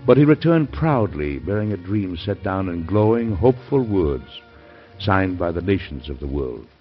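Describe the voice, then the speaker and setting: An actor playing a weary but proud, determined older president in a dramatic, deliberate radio drama style. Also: male voice, low voice, big pitch swings, soft background music. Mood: solemn, proud. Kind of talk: 1950s radio drama acting. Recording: dull old radio recording.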